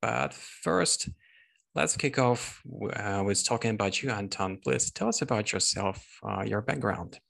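A man's voice: lowish voice, animated, measured pace, gravelly, fairly bright tone. A man hosting a podcast, introducing and interviewing a guest. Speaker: podcast host.

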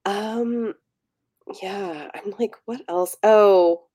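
A woman's voice: mid-range voice, very slow, mellow. A woman co-hosting a podcast, discussing books casually in a tentative, meandering, conversational way. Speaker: podcast co-host.

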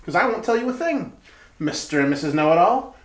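A man's voice: sits high, big pitch swings, brisk. A man playing a cheeky know-it-all hotel bellboy in a retro radio comedy, officious and theatrical. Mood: huffy. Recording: roomy, echoing amateur radio-play recording.

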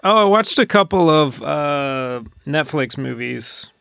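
A man co-hosting a podcast, chatting casually about movies in a hesitant, deadpan way. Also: fairly high pitch, slow, gravelly, smooth, dry recording, studio quality. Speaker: podcast co-host.

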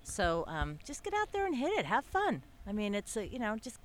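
A woman's voice: medium pitch, huge pitch swings, fairly quick, faint music behind. A woman, a guest on a golf podcast, talking in an easygoing, encouraging way. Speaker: guest, avid golfer. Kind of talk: casual interview answers.

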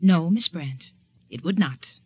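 A woman's voice: on the low side, lively intonation, slow, a little mellow, slightly rough, dry recording, full character voice. An actress with an American accent playing a prim, resentful secretary in a 1940s radio suspense drama, formal and precise in her speech. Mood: primly warning. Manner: formal, precise, icily polite. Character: prim, resentful female secretary, coolly insinuating. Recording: dull, dry 1940s radio recording.

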